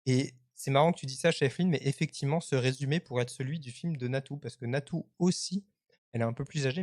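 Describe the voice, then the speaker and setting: A man's voice: mid-range voice, a little mellow, no room sound. Hesitant man in his thirties, dry close sound. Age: 30s. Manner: hesitant, halting, conversational. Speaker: live stream host. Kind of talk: live commentary on web culture, casual press review.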